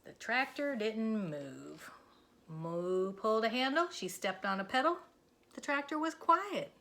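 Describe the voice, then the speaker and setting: A woman reads a children's storybook aloud like an elementary teacher. Her voice is on the high side, and she goes very slowly and deliberately, with expression. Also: sing-song, a bit bright.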